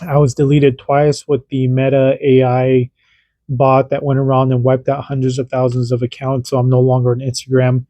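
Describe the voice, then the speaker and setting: A man's voice: mid-range voice, completely flat delivery, normal speed, dark tone, no echo. A man and parent, hosting a casual hands-on radio demo and explaining in an informal, rambling way that often restarts sentences. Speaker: radio hobbyist host.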